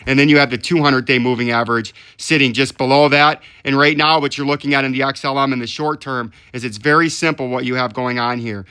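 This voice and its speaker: A man's voice: mid-range voice, fairly even intonation, fast talker, few pauses, bright, forceful tone, smooth. A man hosting a market analysis channel, talking through charts step by step and often checking in with the listener. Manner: explanatory, often checks in with "right?". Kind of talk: live technical chart commentary.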